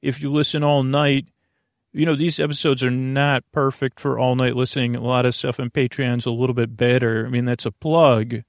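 A man hosting a sleep podcast with a halting, meandering, self-deprecating and reassuring improvised monologue. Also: medium pitch, flat delivery, dry recording, studio quality. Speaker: podcast host. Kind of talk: rambling improvised bedtime monologue.

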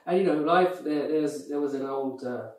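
A man's voice: on the high side, moderate pace, darkish tone. Earnest man, distant and echoey. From UK, of Pakistani heritage. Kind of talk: earnest conversational chat. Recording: echoey room, distant from mic.

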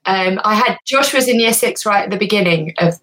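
Woman, co-host and parent: mid-pitched voice, curious, thinks aloud. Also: brisk, a bit bright, poor audio. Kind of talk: casual podcast chat.

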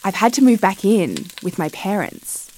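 A woman in her thirties from Australia narrating a documentary podcast as correspondent, measured and reflective. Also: mid-range voice, very animated, mellow, rough recording. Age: 30s.

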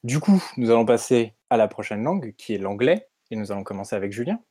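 A man's voice: medium pitch, very animated, fast talker, mellow. A man hosting a casual remote chat show, introducing guests playfully. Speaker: podcast host.

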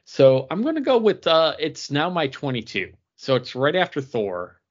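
A man's voice: a little high, huge pitch swings, normal speed, dull audio. A man co-hosting a podcast, thinking aloud in casual talk about films. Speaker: podcast co-host. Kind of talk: casual film discussion.

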